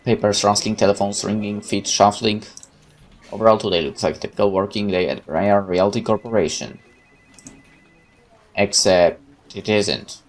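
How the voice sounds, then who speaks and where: A man reading visual novel narration aloud dramatically, with casual asides as a gaming host. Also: on the low side.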